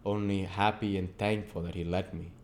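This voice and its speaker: A man's voice: low voice, level tone, normal speed, slight background noise. A man reading a classic novel aloud as an amateur audiobook narrator.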